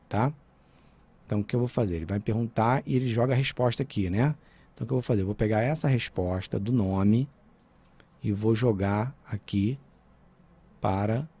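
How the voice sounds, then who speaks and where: Brazilian guy teaching coding on a screen recording, mellow husky voice, keys typing in the background. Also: lowish voice. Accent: Brazilian Portuguese. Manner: informal, checks in with "tá?" and "né?". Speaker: programming teacher.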